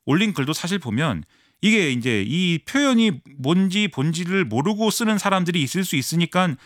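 A man giving fast, animated political analysis on a current-affairs radio show, explaining with many fillers. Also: high-pitched, no room sound, clean studio sound. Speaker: political commentator.

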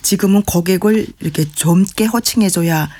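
A woman from North Korea with a North Korean accent, chatting as an interview guest and acting out dialect phrases in lively quoted voices. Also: on the low side, normal speed, a little hiss or hum. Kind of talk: casual interview chat.

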